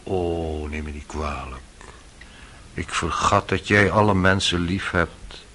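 A man's voice: low voice, slow pace, bright, forceful tone, heavily acted. An actor playing a cynical, worldly young man in a radio drama, sly and needling in manner. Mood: scornful, needling. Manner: sardonic, insinuating.

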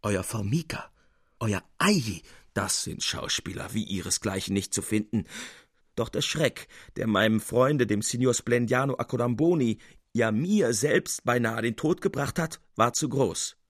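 A man voicing a vain, irascible old Italian composer in a story reading, fussy and self-important with a gravelly voice. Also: medium pitch, lively intonation, measured pace, no echo, studio quality. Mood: smug courtesy.

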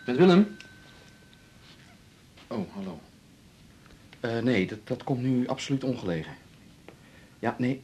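An actor playing a casual, evasive boyfriend in a naturalistic radio drama. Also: male voice, medium pitch, sing-song, unhurried, long pauses, warm tone, a little hiss or hum.

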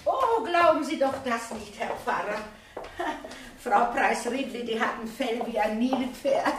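An actress playing a shrewd, inquisitive elderly spinster sleuth in a radio drama, gossipy and slyly probing. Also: female voice, fairly high pitch, animated, unhurried, noisy background. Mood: cheerfully dismissive.

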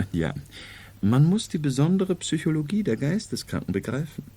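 Ein Schauspieler spielt in einem Hörspiel der Siebziger einen gelehrten, selbstsicheren Psychiater, der mit heiserer Stimme förmlich und dozierend spricht. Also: male voice, a little high, big pitch swings, normal speed, dark tone, breathy edge. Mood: lecturing. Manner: pedantic, lecturing, formal. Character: learned, self-assured male psychiatrist, explaining at length. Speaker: radio drama actor. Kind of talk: 1970s radio drama acting.

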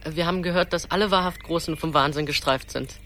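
A man's voice: a little high, fast talker, pushed and bright. A young man acting an earnest, kindly boy, the protective older brother in a radio play. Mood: friendly. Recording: music behind the voice.

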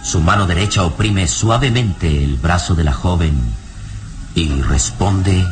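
A man narrating a Spanish-language radio drama in a deliberate, theatrical scripted style. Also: sits low, faint music behind.

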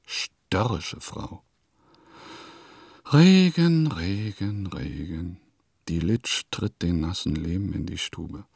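Slow, gravelly, grumpy male composer. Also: deep voice, darkish tone, dry recording, studio quality, exaggerated voice. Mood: grumbling, weary. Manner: grumbling, pompous, deliberate phrasing with pauses. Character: grumpy, self-important provincial male composer reading his diary. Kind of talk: dramatised diary monologue in a radio play.

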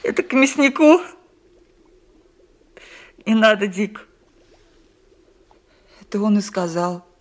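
An actress playing a weary, despairing mother in a radio drama, speaking haltingly and with resignation. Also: mid-range voice, lightly acted. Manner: halting, repeats phrases, resigned.

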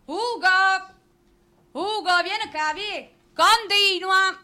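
An actress playing a brash, scheming wife with a Neapolitan accent in a comic stage farce. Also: female voice, very high-pitched, slow, sharp tone, full character voice. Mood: bossy.

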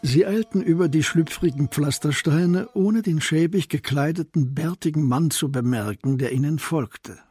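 A man narrating a historical crime radio play in a measured, literary style, as a sober storyteller. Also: on the high side, a little mellow, slightly rough. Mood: sober, suspenseful.

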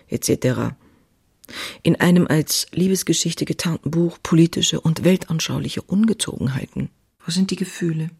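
An actress playing a passionate, restless young woman writer who confides her diary in an intimate, confessional, often breathy way. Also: low-pitched, a little mellow, exaggerated voice. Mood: bitter, defiant. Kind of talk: dramatic diary reading.

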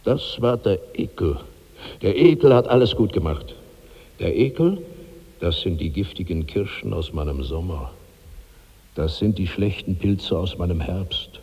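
A man's voice: low voice, expressive, a little slow, warm tone, breathy edge, heavily acted. An actor playing a weary, monstrous glutton in his sixties, declaiming morbidly in a 1960s radio play. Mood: exalted, bitter. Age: 60s. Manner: brooding, morbid, weighty delivery. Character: Karnak, monstrous, weary 65-year-old giant glutton. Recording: long reverberant hall.

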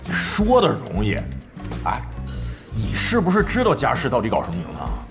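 A man's voice: medium pitch, huge pitch swings, fairly quick, music in the background. A man playing a brash, nosy, somewhat sleazy gamer in an audio drama, effusive and slangy in his talk. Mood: curious, chummy.

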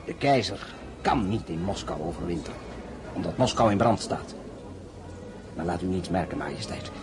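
An actor playing a shrewd, suave diplomat at an imperial court in a radio play, measured and insinuating. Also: male voice, deep voice, animated, over music. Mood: grave, discreet.

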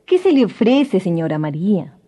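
Husky, dark-voiced neighbour woman played by an actress. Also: medium pitch, very animated, normal speed, soft background music. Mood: sly, instructing. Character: knowing neighbour woman giving recipes. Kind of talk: 1990s radio drama acting.

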